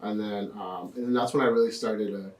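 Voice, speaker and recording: A young man in his twenties, a podcast guest telling his story in a relaxed way with lots of fillers. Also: lowish voice, fairly quick, far from the mic, a little hiss or hum. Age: 20s. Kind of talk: casual interview. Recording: echoey room, off-mic.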